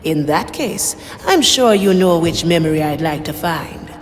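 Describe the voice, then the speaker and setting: A woman's voice: sits low, animated, unhurried, slight background noise. An actress playing a wry, daring woman pirate navigator with a cool, confident manner. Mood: curious, assured.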